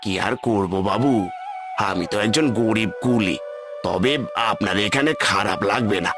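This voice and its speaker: A man's voice: fairly low pitch, moderate pace, music in the background, natural voice. A man voicing a humble, earnest poor village porter in a cartoon moral tale, deferential and pleading. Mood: eager to please.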